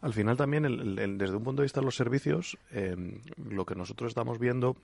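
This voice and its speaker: A man's voice: medium pitch, brisk, vocal fry, a little mellow, no room sound. A man with an accent from Spain talking spontaneously as a business panelist, often ending phrases with a checking tag. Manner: ends phrases with checking tags. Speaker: property company executive on a panel.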